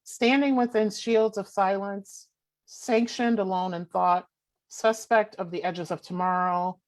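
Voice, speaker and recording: A young adult woman performing spoken word poetry with forceful, rhythmic declamation. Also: mid-range voice, fairly even intonation, a little slow. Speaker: poet.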